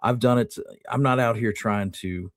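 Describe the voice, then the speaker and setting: A man co-hosting a casual conversation, plainspoken and informal, with a slightly halting delivery. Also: medium pitch, no room sound, clean studio sound. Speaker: show co-host.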